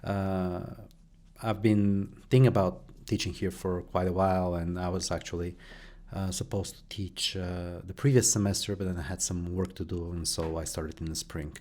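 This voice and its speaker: Interview guest: middle-aged man, very deep voice, halting and thoughtful, lots of fillers. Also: little pitch movement, darkish tone. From Bulgaria. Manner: hesitant, many fillers and restarts. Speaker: guest, journalist and professor. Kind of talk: conversational interview answers.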